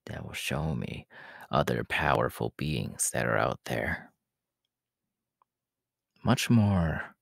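A man voicing a menacing, haughty demon king in an ASMR audio roleplay, slow and imperious, in a gravelly, breathy half-whisper. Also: a bass voice, fairly bright tone, dry recording, studio quality, heavily acted. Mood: cold threatening. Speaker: voice actor.

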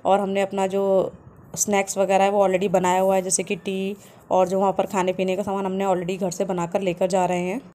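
A woman, calmly narrating a family travel vlog as a voice-over. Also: medium pitch, brisk. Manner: calm, explanatory, steady. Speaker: travel vlogger.

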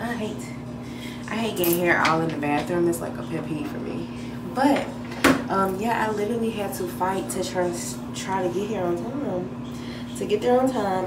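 Woman, 20s, chatty lifestyle vlogger, fresh from a nap, recorded off a distant camera in a roomy-sounding bathroom with loud room hiss. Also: mid-range voice. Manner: chatty, laid-back, slangy, talking while styling her hair. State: a little deeper than usual after a nap. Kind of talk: casual vlog chat. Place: hotel bathroom, indoors, small. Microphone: camera on the counter at arm's length. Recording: echoey bathroom, mic at a distance.

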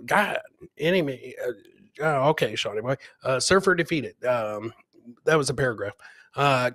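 A man's voice: a little high, sharp tone, dry recording. A middle-aged man doing casual, jokey live commentary on video clips as a comedian host, often repeating his catchphrases. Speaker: comedian hosting a livestream clip show.

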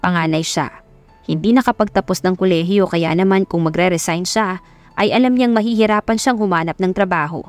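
A woman's voice: on the low side, a little fast, no room sound, faint music behind. A woman with a Filipino accent narrating a scripted romance story as an audiobook narrator.

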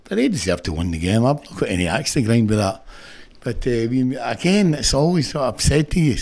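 A man's voice: mid-range voice, very animated, brisk, a little mellow. A Scottish man in his sixties, a football manager giving a frank, annoyed post-match interview into a close stand mic in an indoor press room. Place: indoor press room before a sponsor backdrop. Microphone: stand mic close in front.

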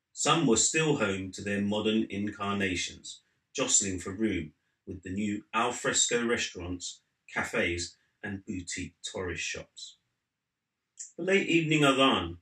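A man in his forties, an author reading from his own book as measured literary narration in a living room. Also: lowish voice, pushed and bright. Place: living room at home, seated in an armchair. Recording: slightly roomy indoor sound.

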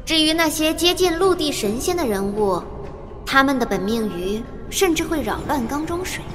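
Calm formal seer at court, high pitched, big reverb, explains things carefully. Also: female voice, moderate pace. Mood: composed, certain. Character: composed seer reporting omens to the empress. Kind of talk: Chinese audio drama acting. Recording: long reverb, music behind.

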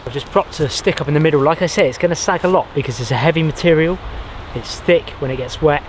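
A man in his thirties, outdoors in a pine forest, explaining how he pitches a shelter and gathers fire materials as he works, often restarting his sentences. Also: fast talker, slight background noise. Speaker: bushcraft vlogger. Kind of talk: casual outdoor how-to narration.

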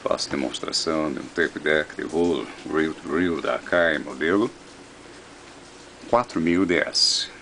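A man's voice: very deep voice, a little slow, a little hiss or hum. A man presenting a product demonstration as a vintage audio seller, recorded indoors. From Brazil. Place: indoor room. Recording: music playing over the voice. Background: loud guitar music.

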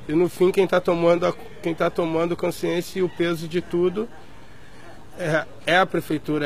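A man's voice: high voice, gravelly. A man in his thirties, an activist in an interview, talking earnestly and airing grievances with many tag questions.

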